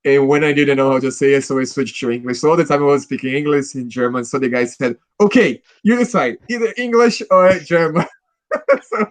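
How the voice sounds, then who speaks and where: A man's voice: mid-range voice, fairly even intonation, a little fast, dry recording. A man with a Brazilian Portuguese accent chatting in English at a language club, hesitant and restarting often. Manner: hesitant, restarts often, laughs while telling stories. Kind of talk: casual conversation practice.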